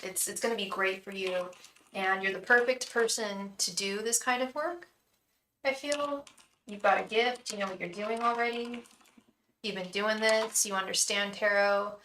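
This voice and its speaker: A young woman with a calming voice, reading tarot live for viewers in a chatty, reassuring way, full of restarts and "um"s. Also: mid-range voice, moderate pace, reverberant, slight background noise, poor audio. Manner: addresses viewers fondly as "love". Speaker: tarot reader and psychic.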